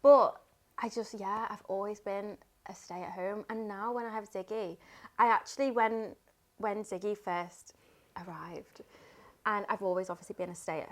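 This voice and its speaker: A woman in her thirties co-hosting a podcast, chatting casually as an animated storyteller who laughs often. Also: mid-range voice, moderate pace. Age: 30s. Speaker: podcast co-host. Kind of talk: casual chat.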